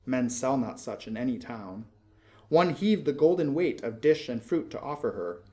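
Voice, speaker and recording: A man reading narrative verse aloud in a measured, expressive, literary storytelling manner. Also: mid-range voice. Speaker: poetry reader.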